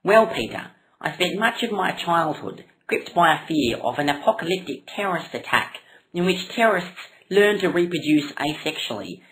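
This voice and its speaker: A woman's voice: low-pitched, a bit bright, low-quality recording. A woman voicing a thin, pedantic male engineer with an annoying nasal voice in a comic puppet show.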